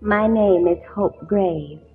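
An actress in an old radio drama playing a wistful, resigned young invalid in a tenement, speaking in an earnest, measured way. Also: female voice, mid-range voice, creaky, slightly rough, exaggerated voice. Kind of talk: 1940s radio drama acting. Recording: muffled old radio recording, music behind.

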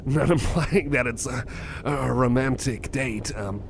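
Male actor as shy, flustered cyborg love interest for ASMR roleplay. Stammering, creaky delivery over clear train noise. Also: unhurried. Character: bashful, kind male cyborg friend nervously confessing a crush. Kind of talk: ASMR roleplay, spoken to the listener. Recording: clear background vehicle rumble noise. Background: train ride ambience.

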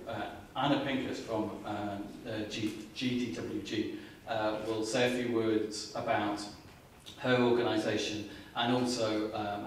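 A middle-aged man hosting an event, giving an informal introduction in a halting, hesitant way full of ums. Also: on the low side. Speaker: event host introducing speakers. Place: indoor library event space with audience. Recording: echoey hall, distant mic, faint noise.

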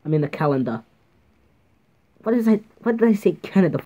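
A man's voice: high voice, expressive, brisk, dark tone. A young man doing a homemade toy puppet show, switching between many silly put-on voices. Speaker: toy video creator voicing many characters. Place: home room with toys on the floor. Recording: dull lo-fi home recording.

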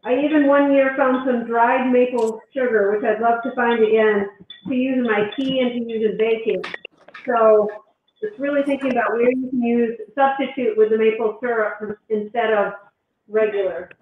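High-voiced woman chatting thru a recipe over a video call, tinny phone-ish sound, lots of echo. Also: steady tone, moderate pace, a little hiss or hum. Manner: chatty, explains as she cooks. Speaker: guest doctor demonstrating a recipe. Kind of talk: cooking demo on a live stream. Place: home kitchen.

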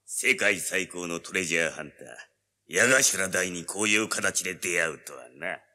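An actor playing an imposing gang boss with a gentlemanly front in an audio drama, grandiose and menacing. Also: male voice, low-pitched, expressive, a little slow, exaggerated voice. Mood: imperious.

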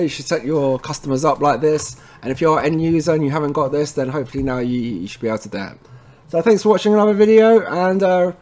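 A man presenting a technical tutorial in an informal way, talking viewers through each step and often checking in with a quick tag word. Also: a little high, a little mellow. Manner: informal, addresses viewers as guys, frequent tag okay. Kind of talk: casual screen-recorded how-to walkthrough.

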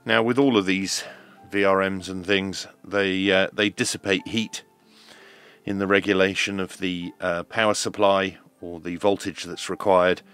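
A man presenting a hands-on electronics tutorial, explaining step by step in a measured way over background music. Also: sits low, level tone, fairly bright tone, clear, resonant tone. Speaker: host of an electronics teardown channel.

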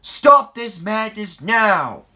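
A man voicing a stern, angry railway controller who scolds his engines in a cross, loud manner, in a children's story. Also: mid-range voice, sing-song, moderate pace, lightly acted. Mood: furious, shouting.

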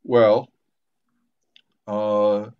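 Older male academic, very slow and deliberate delivery with pauses, dull video-call sound. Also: lowish voice, flat delivery, clear, resonant tone. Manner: halting, deliberate, with long pauses. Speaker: professor moderating a book discussion. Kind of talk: literary discussion, lecturing informally.

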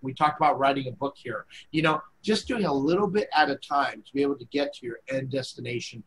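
A man's voice: mid-range voice, lively intonation, quick pace, fairly bright tone, low-quality recording. Joking man co-hosting a video call. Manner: jokey, laughs at own puns. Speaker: co-host.

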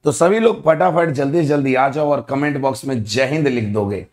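A man in his thirties with an Indian accent, teaching a live online engineering class in a studio, energetic and repetitive, with a slightly sore throat. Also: medium pitch, moderate pace. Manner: energetic, repeats greetings, mixes Hindi and English. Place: indoor studio in front of a backdrop.

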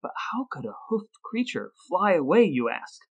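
A man's voice: high voice, big pitch swings, normal speed, gravelly, breathy edge, dull audio. Man hosting a podcast, playfully grandiose. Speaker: podcast host. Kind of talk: scripted storytelling and conversational explaining.

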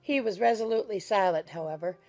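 A woman narrating a dramatized audiobook of a classic novel in a measured, formal storytelling manner. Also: mid-range voice, warm tone, soft background music.

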